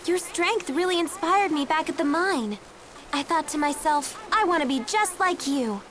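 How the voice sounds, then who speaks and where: A woman playing a perky, eager and headstrong teenage girl adventurer in a video game, bubbly and expressive. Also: sits high, normal speed, a little hiss or hum. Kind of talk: video game English dub acting.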